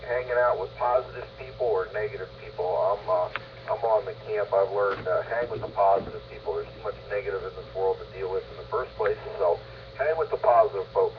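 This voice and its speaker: Low-voiced man on scratchy ham radio. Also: dull audio. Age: 50s. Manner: halting, with long hesitant pauses. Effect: two-way ham radio. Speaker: amateur radio operator. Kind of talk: casual radio chat, advice-giving. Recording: scratchy, noisy band-limited mobile radio.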